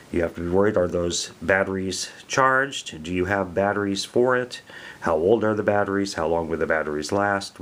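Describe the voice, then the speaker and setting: Deep-voiced American man reviewing gear. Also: fairly bright tone. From American Midwest. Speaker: product reviewer. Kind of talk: casual product review.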